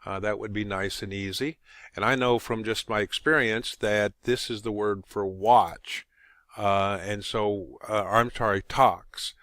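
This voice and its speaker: A man with a fairly low, clean and resonant voice walks listeners through software steps. He speaks informally and haltingly, thinking aloud as he goes. The sound is dry, with very little room in it. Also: steady tone, dry recording. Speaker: tutorial presenter. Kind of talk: informal screen-recorded software walkthrough.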